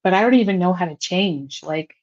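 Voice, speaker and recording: Woman host, lower voice, earnest and encouraging, muffled lo-fi sound. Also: animated, a little fast, darkish tone. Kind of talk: casual online chat.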